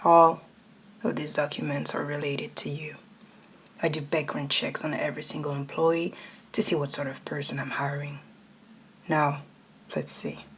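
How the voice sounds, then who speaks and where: A woman's voice: sits low, flat delivery, normal speed, pauses often, slight background noise, voice pushed down, close to an everyday voice. A woman voicing a cold, domineering male CEO in an ASMR roleplay, stern, clipped and condescending. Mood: cold, controlled.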